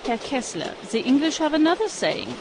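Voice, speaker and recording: An actress playing a stern, irritated German woman spy working undercover in an audio comedy drama, sharp and scolding. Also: high voice, sing-song, moderate pace. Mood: annoyed. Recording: train ambience and noise behind.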